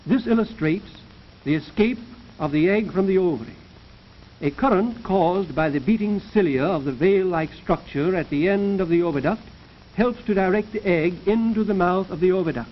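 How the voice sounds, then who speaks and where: Male narrator, high-pitched, formal and measured, 1930s instructional film style, muffled vintage soundtrack. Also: a little hiss or hum. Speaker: narrator of an educational science film. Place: recorded voice-over for a film.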